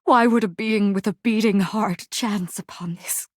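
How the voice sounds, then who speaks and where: A woman's voice: medium pitch, fairly even intonation, a little slow, no echo, studio quality, natural voice. A woman voicing a proud, tragic warrior general in a video game, declaiming in archaic, mock-Shakespearean speech with haughty anguish. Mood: broken, hushed grief. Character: grandiose, tragically proud warrior general, a laundry detergent box.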